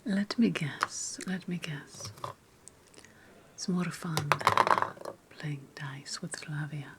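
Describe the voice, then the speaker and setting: A woman's voice: sits low, drawn-out words, exaggerated voice. A woman voicing a haughty, playful ancient Roman noblewoman in a whispered ASMR roleplay, teasing and savoring her words, often repeating phrases. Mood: playful, probing.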